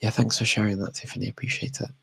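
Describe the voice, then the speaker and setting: A man's voice: lowish voice, little pitch movement, rapid-fire, vocal fry, fairly bright tone, slightly rough, no room sound. A man chatting casually and unscripted as he answers audience questions as a language expert, often hesitating and correcting himself as he thinks aloud.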